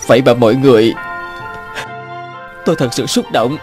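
A man's voice: medium pitch, normal speed, a little mellow, lightly acted. A man playing a proud, guarded young garage worker fallen on hard times in a cartoon. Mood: moved. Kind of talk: cartoon dubbing. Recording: music behind the voice.

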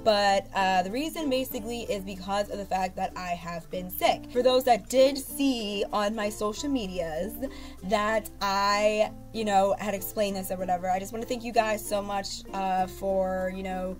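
A woman's voice: medium pitch, normal speed, soft background music. Stuffy-nosed young woman vlogging from her bedroom. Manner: rambling, lots of fillers and restarts. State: congested, stuffy from a cold. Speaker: beauty vlogger. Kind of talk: casual haul vlog to camera. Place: bedroom at home, indoors. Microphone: webcam at arm's length.

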